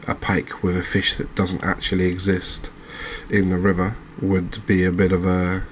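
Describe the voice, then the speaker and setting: Low, even-voiced man doing cozy game commentary. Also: normal speed, slight background noise. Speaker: gaming video host. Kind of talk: soft-spoken ASMR game commentary.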